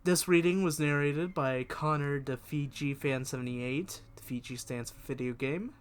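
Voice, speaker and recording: A man's voice: fairly high pitch, moderate pace, clean tone. A man reading a diary-style horror story aloud as scripted narration, with expression. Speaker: fan fiction reader and video creator.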